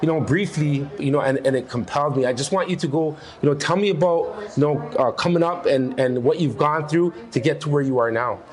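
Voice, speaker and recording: A man hosting a casual podcast interview on location, chatty and quick to chime in with short affirmations. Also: a little high. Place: busy convention center, recorded on location.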